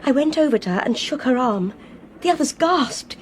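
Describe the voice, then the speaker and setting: A woman's voice: high voice, lively intonation, normal speed. An actress playing a desperate, earnest young woman with an English accent in a radio drama. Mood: distressed recounting. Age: young adult. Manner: earnest, emphatic. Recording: clear train noise behind.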